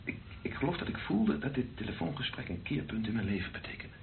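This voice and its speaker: An actor in a radio play playing an earnest, consoling priest in love, speaking in a measured, reassuring way. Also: male voice, medium pitch, lively intonation, lightly acted. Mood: earnest, tender. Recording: narrow, noisy old radio recording.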